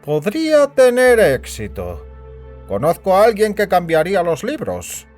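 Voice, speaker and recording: Man acting a high-voiced, scheming senior boss. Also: huge pitch swings, unhurried, darkish tone, exaggerated voice. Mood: conspiratorial. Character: Rachen, scheming, worried senior boss, melancholy and bossy. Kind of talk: scripted Spanish audio drama acting. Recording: music bed behind the voice.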